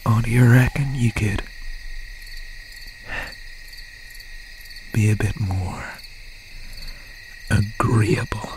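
A man playing an obsessed, menacing country slasher boyfriend in an ASMR roleplay, speaking in a breathy, halting, often whispered voice with folksy phrasing. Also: lowish voice, fairly even intonation. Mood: threatening, tender. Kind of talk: ASMR roleplay, slow and intimate. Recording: noisy night ambience with crickets, owl. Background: crickets, insects and an owl.

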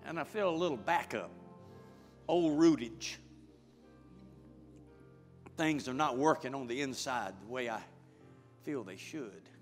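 A middle-aged male pastor preaching and praying fervently before his congregation, building to loud prayer. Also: medium pitch, a little slow, long pauses. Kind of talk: sermon and prayer. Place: large church sanctuary with congregation. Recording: church hall with music behind.

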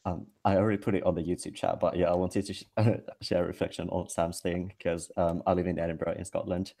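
Low gravelly young man on a video call. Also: level tone, dark tone. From Finland. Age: 20s. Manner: halting, many restarts, wry laughs. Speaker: webinar panelist. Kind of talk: casual discussion. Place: indoor room with bright ceiling light. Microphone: video call webcam.

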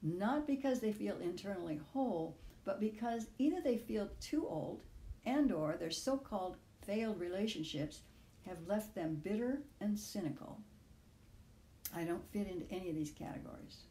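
An older woman reading her own personal essay aloud in measured, deliberate phrases. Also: mid-range voice, lively intonation, mellow, reverberant.

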